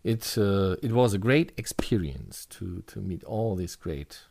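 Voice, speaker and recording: A man speaking casually and hesitantly, with frequent pauses and restarts. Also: sits low, expressive, creaky, darkish tone, no echo. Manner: hesitant, halting farewell. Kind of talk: casual online chat.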